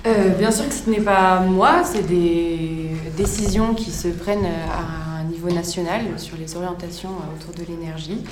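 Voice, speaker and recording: Woman acting bureaucratic prefect, defensive, hesitant, slightly low pitch, roomy echo, radio fiction. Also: moderate pace, hardly any pauses, natural voice. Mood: official, hesitant. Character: official female prefect, halting, defensive, bureaucratic. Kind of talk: improvised mock press conference for radio fiction. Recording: roomy echo with faint noise.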